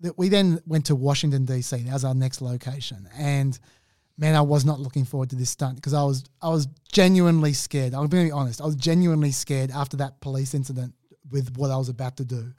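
An Australian man telling a story on a podcast in an animated way, laughing often and restarting his sentences. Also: mid-range voice, fast talker, no room sound, studio quality. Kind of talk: casual storytelling chat.

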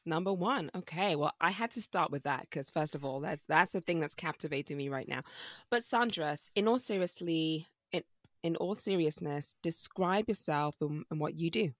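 A woman with a low speaking voice hosts a casual interview in an upbeat, chatty way, often echoing what her guest says. Her voice sounds dry and close, with no room echo around it. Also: moderate pace. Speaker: interview host.

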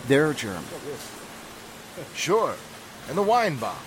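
A male actor plays an angry small-town vigilante in an old-style radio drama. His voice sits fairly high and agitated, buried in heavy noise. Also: wildly swooping pitch, takes it slowly, full character voice. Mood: suspicious. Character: angry suspicious small-town men in a lynch mob. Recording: very noisy, crowded ambient sound effects.

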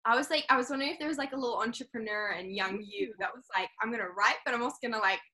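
A woman's voice: on the high side, very fast, pushed and bright. A young woman born in England and raised in New Zealand, a podcast guest chatting eagerly, laughing often and saying "like" a lot. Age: young adult. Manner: enthusiastic, laughs often, fillers like "like". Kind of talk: casual conversational interview.

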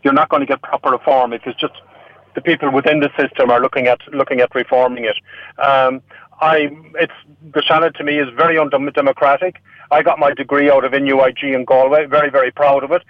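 A man's voice: mid-range voice, level tone, dry recording. A man from an Irish-speaking region, a native Irish speaker with an Irish accent, speaking as an election candidate on a radio panel with frequent restarts. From Irish-speaking region of Ireland. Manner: halting, many restarts. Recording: tinny phone line.

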